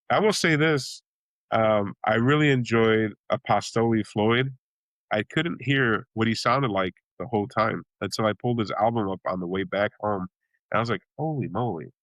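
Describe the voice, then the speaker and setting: Man from Chicago, blues singer, low voice, dry close sound, rambling interview talk, bursts into high exclamations. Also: no room sound, low-quality recording. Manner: animated, acts out reactions with sudden high-pitched exclamations; rambling, with many restarts.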